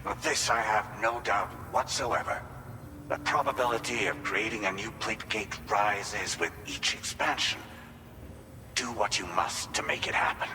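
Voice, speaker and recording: An actor playing a composed company representative in a sci-fi game, speaking formally and courteously with assurance. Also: male voice, lowish voice, a little slow, in a large hall, background noise, close to an everyday voice. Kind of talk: video game cutscene dialogue.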